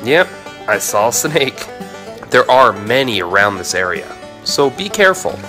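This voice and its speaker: A young man casually narrating his fishing trip, speaking quietly as he stalks fish by a lake. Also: sing-song, a little slow, faint music behind. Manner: hushed and low while stalking fish. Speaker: fishing vlogger. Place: outdoors by lake flats, partly in a car. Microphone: action camera on head or in hand.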